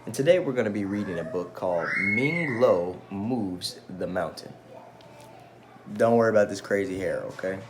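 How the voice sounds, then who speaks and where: A male teacher reads a picture book aloud with very lively intonation. He speaks to children in casual asides, with faint noise behind his voice. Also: on the low side, normal speed.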